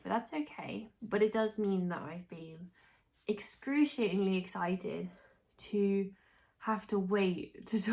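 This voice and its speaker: Nasal, hoarse young Englishwoman chatting. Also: medium pitch, darkish tone. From England. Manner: chatty, rambling, frequent restarts. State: hoarse, congested, recovering from illness. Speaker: knitting podcast host. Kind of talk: casual chat to camera.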